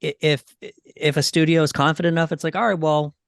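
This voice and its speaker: A man hosting a casual podcast about movies, thinking aloud in a halting way. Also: on the high side, level tone, vocal fry, clear, resonant tone, no echo, clean studio sound. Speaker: podcast host.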